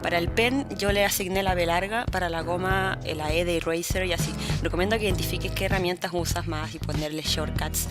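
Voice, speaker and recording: Chilean woman, young, chatty tutorial host, a bit low-pitched, music underneath. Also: steady tone, brisk, hardly any pauses. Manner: chatty, upbeat, often restarts words. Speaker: channel host, digital art tutor. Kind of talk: casual tutorial narration.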